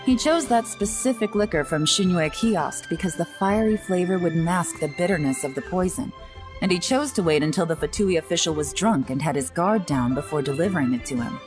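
A woman voicing a shrewd, composed young female intelligence agent in a video game, speaking in a measured, confident way. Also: fairly low pitch, expressive, lightly acted, poor audio. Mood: cool, analytical. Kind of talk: video game dialogue acting. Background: game music.

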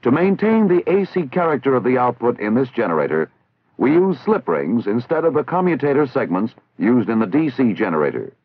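A man's voice: mid-range voice, very animated. Tinny vintage American male training-film narrator. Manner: formal, measured, didactic enunciation. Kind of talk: scripted instructional narration, 1960s military training film. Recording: tinny, band-limited 1960s film soundtrack.

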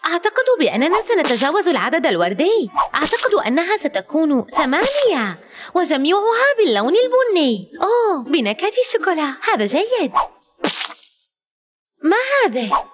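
A woman's voice: very high-pitched, big pitch swings, normal speed. A woman narrating a children's educational cartoon in an exclamatory way, speaking directly to a character.

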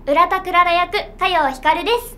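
A woman's voice: very high-pitched, steady tone, fairly quick, sharp tone. A young adult woman, a voice actor, chatting casually in a promotional interview. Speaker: voice actor guest. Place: small indoor room.

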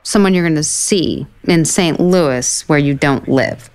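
A woman's voice: deep voice, a little slow, a little mellow. An actress playing a blunt, sarcastic, self-deprecating woman writer in a naturalistic romantic comedy audio drama. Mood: dry, teasing.

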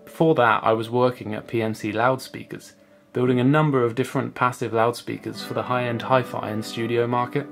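Man presenting a project, music behind. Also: mid-range voice. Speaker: master's student presenting a project. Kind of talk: scripted explainer to camera. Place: small indoor room at home.

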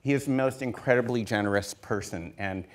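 A man's voice: mid-range voice, brisk. A man in his fifties, a production designer on a stage panel, telling stories with many fillers and restarts into a handheld mic. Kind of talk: casual storytelling on a panel. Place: theater stage before an audience.

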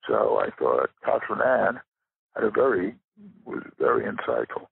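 A man with a raspy, gravelly voice giving reflective interview answers as a guest writer, pausing for emphasis. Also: sits low, big pitch swings, no room sound. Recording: muffled narrow phone-like line.

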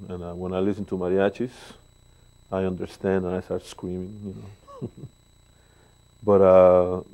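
Older man, Mexican Spanish accent, very low voice, storytelling guest, pauses with fillers, lapel mic in a living room. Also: mellow, rough recording. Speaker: guest bass player. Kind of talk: relaxed interview talk. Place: living room, seated on a sofa.

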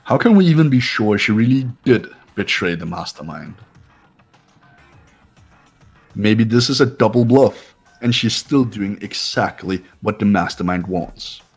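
A man's voice: fairly low pitch, lively intonation, normal speed, gravelly, hardly any pauses, breathy edge, faint music behind, heavily acted. A man voicing a haughty, suspicious young student in a visual novel game, talking in a cold, condescending, accusatory way. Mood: scornful.

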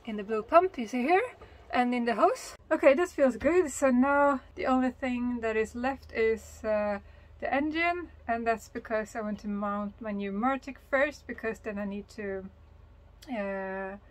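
A woman in a fairly high voice. She explains things informally and with enthusiasm, like someone chatting to a handheld camera on a small boat. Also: measured pace. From Sweden. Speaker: sailing vlogger. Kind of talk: casual explanatory chat to camera. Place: small sailboat, in cabin and covered cockpit, and outdoors at a marina.

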